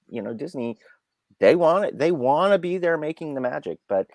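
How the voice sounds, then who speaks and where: A man gives an off-the-cuff film review in a mid-pitched voice. He speaks haltingly, repeating words and searching for the next phrase. The sound is dry, with very little room on it. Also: darkish tone, no room sound. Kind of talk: unscripted review discussion.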